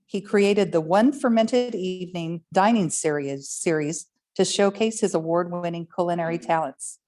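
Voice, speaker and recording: A woman of middle pitch opens a cooking webinar by presenting its guests. She reads a formal introduction in a steady, read-out way. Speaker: event presenter. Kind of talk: reading an introduction.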